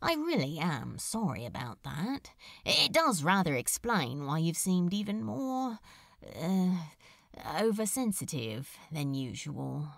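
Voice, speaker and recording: A woman's voice: lowish voice, very animated, slow pace, gravelly, dry recording, clean studio sound, heavily acted. A woman voicing a wry, irreverent male demon in an audiobook, with a nonchalant, musing drawl. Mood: apologetic.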